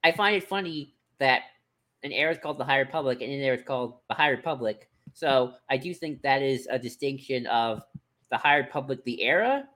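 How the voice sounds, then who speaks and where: Rambling male podcaster, medium pitch. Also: fairly quick, fairly bright tone. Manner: rambling, hesitant, circles back and repeats phrases. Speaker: podcast co-host. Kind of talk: casual discussion.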